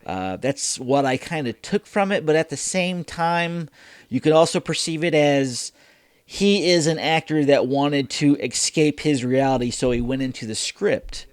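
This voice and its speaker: A man's voice: a little high, a little slow, clean tone, no echo. Man in a dry, close recording. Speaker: reaction show host. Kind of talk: casual episode reaction and commentary.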